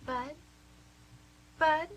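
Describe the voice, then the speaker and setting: A woman's voice: extremely slow, highs cut off. A woman playing a perky, flustered and apologetic visitor in an amateur radio play, hesitant and scatterbrained. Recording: hum and faint background noise.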